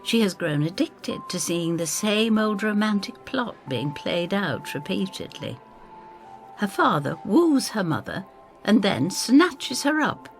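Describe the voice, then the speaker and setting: A woman's voice: medium pitch, creaky, pitched above the performer's own voice, heavily acted. A woman playing a motherly landlady who narrates her own story in a wry, homely, confiding manner. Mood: storytelling, intrigued. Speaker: first-person narrator. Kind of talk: dramatised scripted storytelling.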